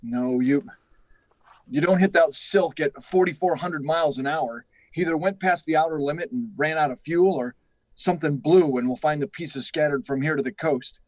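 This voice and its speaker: An actor playing an aging, anxious, guilt-ridden test-flight chief in a radio drama, gruff, halting and urgent, often repeating himself. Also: male voice, on the high side, darkish tone, no echo, close to an everyday voice, poor audio. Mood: tense, bitter. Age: older. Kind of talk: live radio drama acting.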